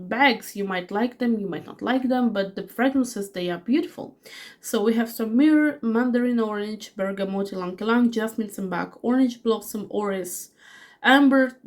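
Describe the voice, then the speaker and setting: Casual: forties lady fighting a cold, rambly perfume review, lots of ums and restarts, mid-pitched voice. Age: 40s. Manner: chatty, rambling with many fillers and restarts. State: has a cold. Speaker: fragrance reviewer. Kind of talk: casual product review vlog.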